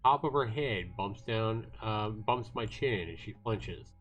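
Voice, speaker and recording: Low-voiced man reading a story aloud. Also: slow pace, fairly bright tone. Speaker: livestreamer reading a visual novel aloud. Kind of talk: expressive story narration with asides. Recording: game music behind the voice.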